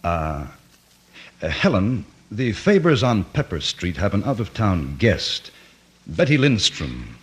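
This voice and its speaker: An actor playing a gentle, protective country doctor in a radio drama, speaking in an earnest, coaxing manner. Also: male voice, fairly low pitch, sing-song, slow pace. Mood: tender, hesitant.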